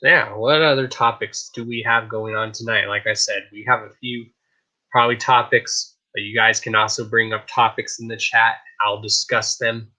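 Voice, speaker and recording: A man hosting a casual live stream show, chatting in a hyped, rambling way, his voice a little raspy. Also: on the low side, steady tone, normal speed, bright, forceful tone, rough recording. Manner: hyped, rambling, repeats "I kid you not". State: slightly raspy voice.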